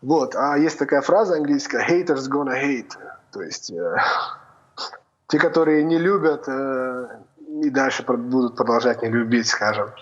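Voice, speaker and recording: A man from North Ossetia with an Italian-tinged Russian accent, answering over a video call in a plain, relaxed, slightly halting way. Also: mid-range voice. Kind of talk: casual remote interview. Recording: dull lo-fi call audio.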